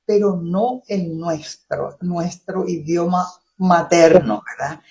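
A woman's voice: on the low side, slow pace, gravelly, darkish tone, muffled recording. A woman from the Chaco with a Paraguayan Spanish accent and Guaraní as her mother tongue, discussing as an interviewer and often seeking agreement. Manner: opinionated, frequently seeks agreement with tag questions.

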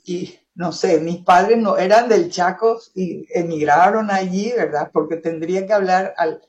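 A man's voice: high voice, measured pace, lo-fi sound. A man from Paraguay with a Paraguayan Spanish accent, a native Guarani speaker, talking reflectively in a casual conversation and often adding tag questions.

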